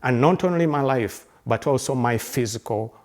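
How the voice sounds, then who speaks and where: A man in his fifties from Uganda, speaking in a halting, earnest way, with many fillers and restarted phrases. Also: mid-range voice, darkish tone. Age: 50s. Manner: earnest and reflective. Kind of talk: reflective personal conversation.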